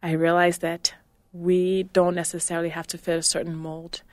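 A woman guest answering in a measured, thoughtful way. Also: fairly low pitch, little pitch movement, a little mellow, dry recording. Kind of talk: reflective answer.

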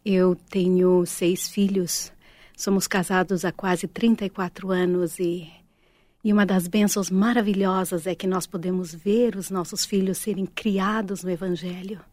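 A middle-aged woman whose first language is Portuguese, speaking as a guest in a gentle, hesitant way, with many fillers. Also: on the low side, fairly even intonation, moderate pace, no room sound. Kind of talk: heartfelt personal testimony.

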